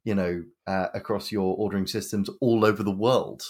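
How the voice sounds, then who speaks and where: Low-voiced enthusiastic male co-host. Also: fairly even intonation, normal speed, a little mellow. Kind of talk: casual chat.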